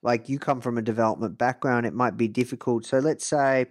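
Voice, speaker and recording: A man hosting a podcast interview, talking in a relaxed, conversational way with lots of filler phrases. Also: mid-range voice, a little fast, no echo, studio quality. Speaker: podcast host. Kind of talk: casual interview chat.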